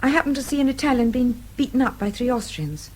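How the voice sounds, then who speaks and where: A woman's voice: high-pitched, expressive, fast, a little mellow, breathy edge. An actress playing an outspoken, earnest young Englishwoman with a British accent in a radio drama, well-spoken and polite. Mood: indignant.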